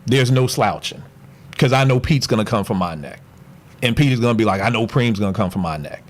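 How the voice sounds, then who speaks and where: A man's voice: fairly low pitch, animated, brisk. A passionate, opinionated man holding forth as a podcast guest, his voice swinging widely up and down as he rants. He talks in casual, slangy speech and never backs down.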